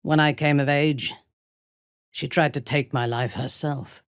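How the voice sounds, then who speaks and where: A woman playing a ruthless, haughty dark elf noblewoman in a fantasy video game, speaking in a measured, menacing way with a breathy, husky voice. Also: sits low, fairly even intonation, no room sound, clean studio sound. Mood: solemn, intimate. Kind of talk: dramatic fantasy game dialogue.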